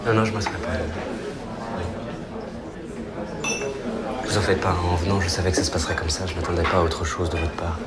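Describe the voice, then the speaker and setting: A man's voice: deep voice, fairly even intonation, very fast, a loud crowd, lightly acted. An actor playing a bitter man who calls himself a failure and lashes out at his old friends. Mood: resentful. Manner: bitter, self-lacerating confrontation. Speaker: character in a feature film. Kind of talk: film acting.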